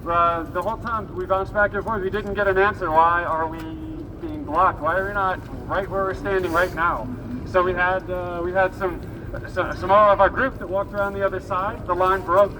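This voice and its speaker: Guy with a high voice rallying people at a street protest, urgent, kinda far from the mic, noisy outside. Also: fairly even intonation, moderate pace. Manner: urgent, exhorting. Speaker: protest speaker. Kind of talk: impromptu rally speech to a crowd. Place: outdoors on a city street. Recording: distant noisy outdoor recording.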